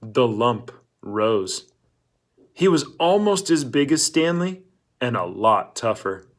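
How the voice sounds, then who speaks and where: A man's voice: mid-range voice, very animated, takes it slowly. A man reading a novel aloud as scripted storybook narration. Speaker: audiobook-style reader.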